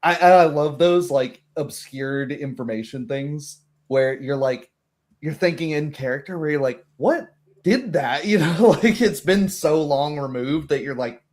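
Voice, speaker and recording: A man's voice: fairly high pitch, animated, unhurried, darkish tone. A man hosting a casual, informal group chat after a tabletop game as the game master. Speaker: game master and stream host.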